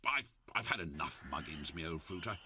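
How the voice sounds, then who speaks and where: A man's voice: sits low, lively intonation, brisk, a little hiss or hum, heavily acted. A man voicing a blustering, weary British king and worried father in a cartoon game, hesitant and stammering. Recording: loud music over the dialogue.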